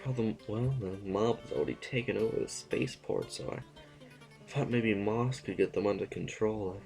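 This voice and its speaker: A man's voice: fairly low pitch, moderate pace, vocal fry, a little mellow, faint music behind. An actor playing an anxious, frightened nobleman in an audio drama, stammering and urgent as he speaks. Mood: nervous urgency. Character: anxious, frightened male nobleman fleeing a violent uprising.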